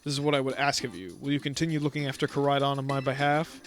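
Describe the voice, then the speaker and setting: A man's voice: mid-range voice, level tone, natural voice. A man reading aloud the lines of a courteous, formal male academy director in a video game. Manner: courteous and measured.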